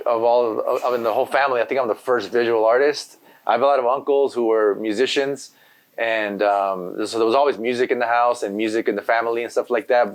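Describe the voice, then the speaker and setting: Laid-back man, native Spanish speaker. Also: mid-range voice. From Los Angeles. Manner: laid-back, rambling, full of "you know". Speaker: graffiti artist guest. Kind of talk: casual interview chat.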